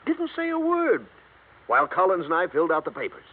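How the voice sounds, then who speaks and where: High-voiced, gossipy radio insurance man. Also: wildly swooping pitch, brisk, narrow, tinny audio, heavily acted. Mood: baffled, colorful. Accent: American. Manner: jokey, colorful, slangy storytelling. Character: wisecracking, gossipy male insurance man, colorful and slangy. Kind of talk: old-time radio drama acting. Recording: thin, band-limited vintage radio recording.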